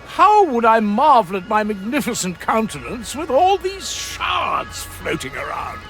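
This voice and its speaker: A man's voice: sits high, huge pitch swings, unhurried, music in the background, full character voice. A man voicing a vain pirate quartermaster in a video game, flamboyant, haughty and melodramatic. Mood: melodramatic dismay. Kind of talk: theatrical video game voice acting.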